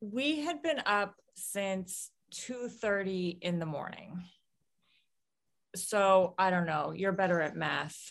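A woman's voice: mid-range voice, takes it slowly, a bit bright. A woman who is a podcast guest, overtired and wry, talking casually. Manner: wry, self-deprecating. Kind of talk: casual chat.

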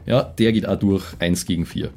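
A man's voice: low voice, normal speed, a little mellow, a little hiss or hum. A man chatting in an easygoing, colloquial way while playing a tabletop game. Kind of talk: casual game-table chat. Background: background music.

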